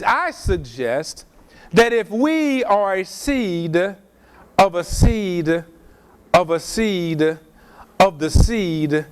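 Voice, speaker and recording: High-voiced man lecturing emphatically. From Louisiana, USA. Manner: slow, emphatic, preacher-like delivery. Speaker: speaker at a community event. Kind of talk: lecture with call and response.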